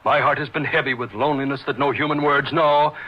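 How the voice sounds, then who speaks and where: An actor playing a brilliant, lonely and superior young surgeon in old-time radio horror style, speaking gravely and deliberately. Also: male voice, mid-range voice, fairly even intonation. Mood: lonely, anguished. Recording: muffled radio drama with background hiss.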